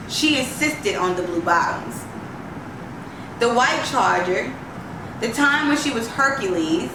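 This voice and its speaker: A woman reading a literary story aloud as an expressive storyteller. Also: medium pitch. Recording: roomy, distant, faint background noise.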